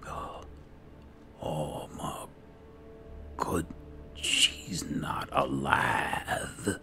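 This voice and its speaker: Un uomo che commenta un videogioco in stile gioco di ruolo, riflettendo ad alta voce nei panni del personaggio, con musica di gioco sotto. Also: male voice, very deep voice, very slow, vocal fry, bright, forceful tone, a little hiss or hum. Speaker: gaming channel host role-playing as an alchemist. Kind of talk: slow, musing in-character game roleplay commentary. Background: game music.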